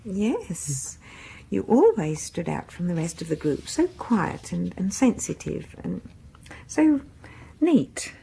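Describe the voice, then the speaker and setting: A woman's voice: on the low side, big pitch swings, slow, creaky, warm tone, breathy edge, heavily acted, poor audio. An actress playing a shy, proper, questioning woman in a radio horror drama, speaking politely and tentatively with a British accent. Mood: shy, candid.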